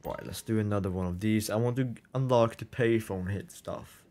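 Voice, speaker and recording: A man's voice: lowish voice, moderate pace, dark tone, a little hiss or hum. A young man streaming a game live, reading chat aloud and answering it with incredulous, laughing banter.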